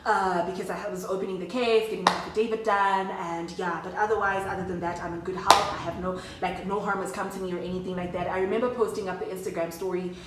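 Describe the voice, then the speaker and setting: A woman's voice: on the low side, steady tone, quick pace, hardly any pauses, echoey room, recorded from a distance, background noise. A young woman telling a story in a chatty, slangy way, talking straight to her viewers. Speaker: vlogger. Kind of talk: casual storytime chat to camera.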